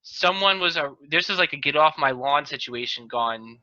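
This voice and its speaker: A man's voice: a little high, expressive, normal speed, pushed and bright, no room sound. A man hosting a casual group chat over a video call, often repeating and correcting himself as he goes. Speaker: podcast host. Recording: dull, lo-fi video call audio.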